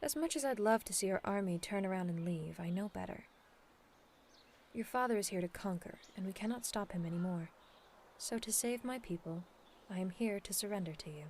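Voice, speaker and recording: A woman playing a dignified, earnest demon princess in a scripted ASMR audio roleplay, speaking in a formal, measured, persuasive way. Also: mid-range voice, a little mellow, a little hiss or hum, close to an everyday voice. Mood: solemn, earnest. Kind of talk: scripted ASMR roleplay acting, addressed to the listener.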